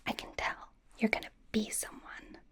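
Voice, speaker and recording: A woman playing a bubbly young female K-pop idol as a doting stylist, whispering slowly and reassuringly in an ASMR roleplay. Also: fairly bright tone, close to an everyday voice. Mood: adoring. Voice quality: breathy whisper. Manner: gushing, reassuring, chatty with trailing repeats. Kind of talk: ASMR roleplay, personal attention.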